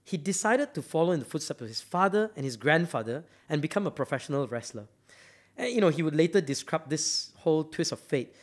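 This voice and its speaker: A man's voice: on the high side, expressive, fairly quick. A man from Malaysia giving a motivational talk, emphatic and often repeating words as he builds up to a point. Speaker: speaker.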